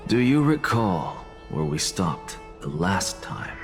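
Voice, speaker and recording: An actor playing a proud, scheming professor who lectures in a calm, haughty way. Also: male voice, deep voice, slow, full character voice. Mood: reasoning. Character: proud, scheming male scholar professor, middle-aged. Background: game background music.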